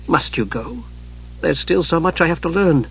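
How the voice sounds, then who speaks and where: A man's voice: on the high side, animated, moderate pace, gravelly, dull audio, soft background music, voice pushed up. Man as an earnest, anxious young mage. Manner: soft, earnest, uncertain.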